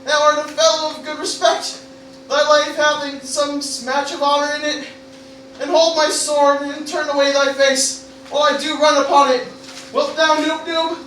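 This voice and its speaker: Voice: high-pitched, level tone, moderate pace, fairly bright tone. An actor playing an anxious, doomed young nobleman, declaiming theatrically in a parody Shakespeare radio play. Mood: solemn, resigned. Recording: very echoey live room, distant mic, noisy.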